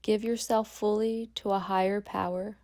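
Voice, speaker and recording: A woman guiding a meditation as a yoga nidra instructor, speaking calmly and gently with long pauses. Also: mid-range voice. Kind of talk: guided meditation.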